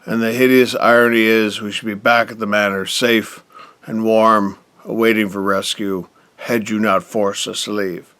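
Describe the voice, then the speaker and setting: Crisp, pompous older patriarch played by a man. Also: lowish voice, little pitch movement, normal speed, bright, forceful tone, no echo. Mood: angry, accusing. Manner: pompous, formal, scolding. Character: stern, pompous, contemptuous middle-aged wealthy patriarch. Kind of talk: theatrical audio drama acting.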